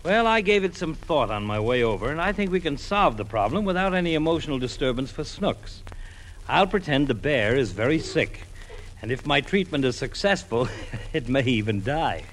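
A man's voice: medium pitch, animated, normal speed, natural voice. A man playing a kindly, joking family doctor who coaxes a child in an old radio comedy. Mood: jovial. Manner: coaxing, playful, mock-grave. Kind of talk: 1940s radio comedy acting. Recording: noisy old radio recording.

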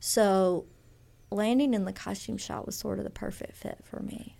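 Woman, 50s, from Louisiana, artist guest, chatty, storytelling, mid pitch. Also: steady tone, moderate pace, vocal fry, mellow. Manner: chatty, rambling storyteller with restarts and laughs. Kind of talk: radio interview.

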